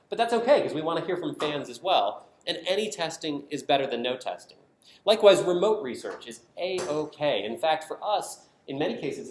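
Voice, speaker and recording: An American man giving a prepared conference presentation, explaining his points emphatically in short, punchy statements. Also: medium pitch. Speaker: conference speaker, design team member. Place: indoor conference session room. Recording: roomy echo of a presentation space.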